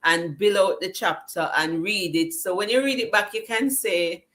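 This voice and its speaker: A woman's voice: mid-range voice, moderate pace, a bit bright. A woman hosting a live online summit over a video call, cheering on her viewers and reading their chat comments aloud. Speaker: summit host.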